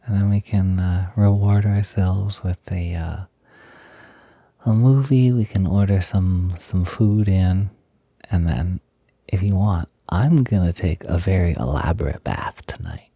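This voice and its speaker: A man playing an affectionate, smitten young roommate in an intimate roleplay audio, talking in a soft, breathy, gently hesitant and playful way. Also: low voice, measured pace, no echo, full character voice. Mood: gentle, appreciative. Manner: gentle, hesitant, giggly, softly doting. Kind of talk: intimate roleplay audio, casual improvised-sounding chat.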